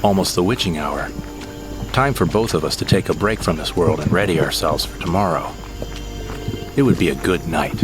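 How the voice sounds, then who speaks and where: A man voicing a male sidekick who narrates his own space adventure in a measured storytelling way. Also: low-pitched, close to an everyday voice, rough recording. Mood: wry. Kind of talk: scripted audio drama narration. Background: background music.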